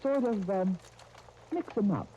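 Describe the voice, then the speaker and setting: Actress doing a gentle earnest guardian lady, 1930s radio soap, very dark soft tone, faint hiss on old disc. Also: medium pitch, expressive, unhurried, lightly acted. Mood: gentle, persuasive. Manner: tender, earnest, uses endearments. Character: gentle, earnest woman guardian counselling young twins. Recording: muffled, noisy 1939 radio disc recording.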